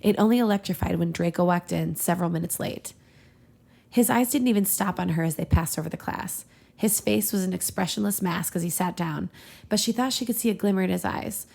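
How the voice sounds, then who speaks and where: A woman with a noticeably creaky voice hosts a comedy read-aloud. She narrates fiction casually and expressively, with a playful touch. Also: on the low side, a little fast, a little mellow. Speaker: podcast host reading fiction aloud.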